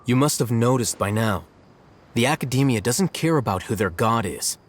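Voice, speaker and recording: An actor voicing a detached adult male scholar in a video game, speaking in a measured, analytical way. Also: medium pitch, animated, natural voice. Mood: lecturing. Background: soft game music.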